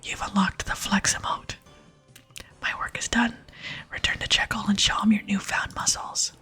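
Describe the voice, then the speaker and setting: A woman's voice: medium pitch, little pitch movement, moderate pace, sharp tone, slightly rough, faint music behind. A woman whispering the game dialogue of a tough, teasing, motivational barbarian trainer in ASMR style. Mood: proud. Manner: blunt, coaching, whispered.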